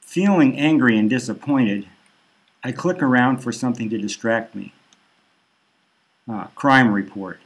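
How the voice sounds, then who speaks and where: A man reading his own dark satirical novel as a first-person audiobook narration. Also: mid-range voice, a little slow, pauses often, slightly rough, low-quality recording.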